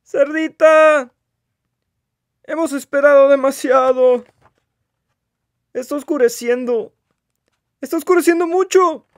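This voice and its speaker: A man voicing an excitable, impatient elephant in a children's picture book, exclaiming and wailing dramatically. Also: very high-pitched, slow pace, pauses often, no echo, clean studio sound. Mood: dramatic despair.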